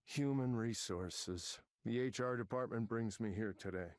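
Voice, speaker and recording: A man's voice: mid-range voice, a little slow, no echo, studio quality, close to an everyday voice. A man playing an angry, middle-aged veteran tech manager in a visual novel game, combative, sarcastic and foul-mouthed. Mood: grudging. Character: angry veteran male tech manager, arrogant, bitter, ranting. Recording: game audio with music behind. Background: music.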